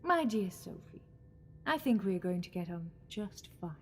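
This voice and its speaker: A woman's voice: mid-range voice, sing-song, slight background noise. A woman playing an imperious, scheming false goddess in an audio drama, commanding and condescending. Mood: silky scheming. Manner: commanding, slow condescending endearments.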